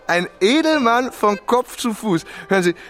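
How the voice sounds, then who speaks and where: An actor playing a young, rich, idle French marquis in a radio play, effusive and theatrical. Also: male voice, sits high, animated, moderate pace, fairly bright tone, soft background music. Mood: drunk elation. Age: young adult. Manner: theatrical, affected, hesitant then effusive.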